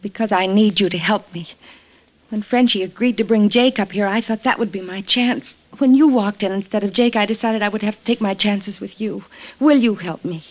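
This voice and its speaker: A woman's voice: mid-range voice, moderate pace, close to an everyday voice. An actress playing a frightened but determined frontier woman, earnest and pleading, in a 1950s radio western. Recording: dull, band-limited old radio recording.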